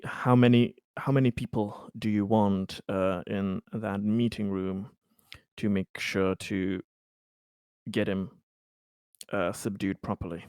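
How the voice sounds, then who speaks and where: A man playing a tabletop roleplaying game, talking casually in a hesitant, measured way. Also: lowish voice, darkish tone, smooth, dry recording, studio quality. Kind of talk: casual in-character game discussion.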